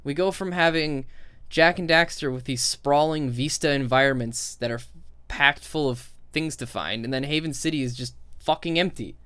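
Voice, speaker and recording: A man's voice: fairly high pitch, normal speed, fairly bright tone, clean tone, dry recording. Informal man in a dry recording. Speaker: video game critic. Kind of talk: casual opinionated video commentary.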